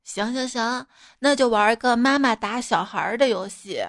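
A woman's voice: fairly high pitch, moderate pace, fairly bright tone, no room sound, clean studio sound. A woman playing a playful, teasing mother who coaxes a stubborn child in a comic bedtime sketch. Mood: coaxing, amused. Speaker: storyteller and performer. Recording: music behind the voice.

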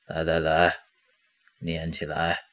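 Man playing a deep, drawling gruff old master. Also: monotone, slow pace, crowd noise, exaggerated voice. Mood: bossy, folksy. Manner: drawling, colloquial, scolding fondly. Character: gruff, folksy, doting older male demon-hunting master. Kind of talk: Chinese audio drama acting. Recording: outdoor birdsong and clicking noises behind.

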